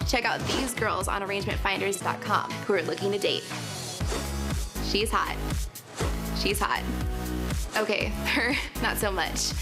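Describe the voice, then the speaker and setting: A woman's voice: mid-range voice, a little slow, pauses often. A woman reading a flirty, teasing radio ad. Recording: ad with loud music bed.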